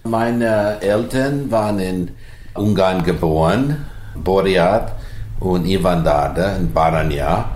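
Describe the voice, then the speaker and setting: A man speaks slowly and haltingly, often searching for words. His voice is lower-set and recorded in a roomy living room. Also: a little hiss or hum. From USA. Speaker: interview guest.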